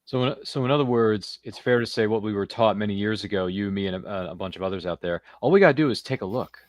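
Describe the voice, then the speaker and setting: Fast-talking, low-voiced male podcast host. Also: dry recording. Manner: chummy, jokey. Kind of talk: casual banter, interviewing.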